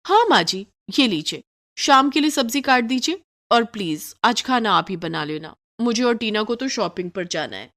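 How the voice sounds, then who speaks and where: A woman's voice: fairly high pitch, expressive, moderate pace, fairly bright tone, voice pushed up. A woman voicing a sly, lazy young daughter-in-law in a cartoon moral story, pert and falsely polite as she justifies herself. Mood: breezy, bossy. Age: young adult.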